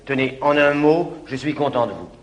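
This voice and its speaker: A man's voice: mid-range voice, moderate pace, lightly acted. A man playing a scheming former valet in a classic stage comedy, insistent and laughing as he coaxes his master. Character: Dubois, scheming former valet, confident and bossy. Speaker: actor in radio play.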